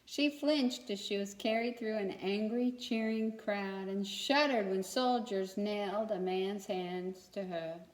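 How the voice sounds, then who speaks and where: A woman storyteller reads to children in an echoey room. Her voice sits at a medium pitch, and her delivery is expressive, addressed directly to young listeners. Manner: pauses to ask young listeners questions.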